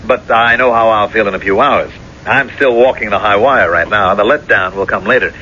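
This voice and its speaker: An actor playing a proud, genial lawyer-politician husband in a 1970s radio drama, measured and a little formal. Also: male voice, on the low side, pushed and bright. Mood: pleased, weary. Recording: dull, noisy vintage radio recording.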